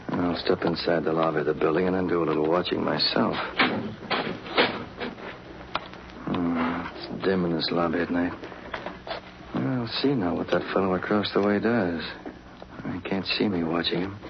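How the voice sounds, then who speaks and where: An actor playing a calm, resolute crime-fighting hero in a 1940s radio drama, measured and decisive. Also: male voice, low voice, gravelly. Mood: wary, musing. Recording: muffled, hissy 1940s radio recording.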